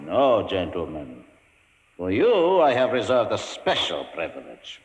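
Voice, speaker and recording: An actor playing a coldly polite, pompous mad scientist in a 1950s radio drama. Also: male voice, deep voice, huge pitch swings, unhurried, heavily acted. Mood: coolly menacing. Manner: pompous, mockingly courteous. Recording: echoey old radio recording, long reverb.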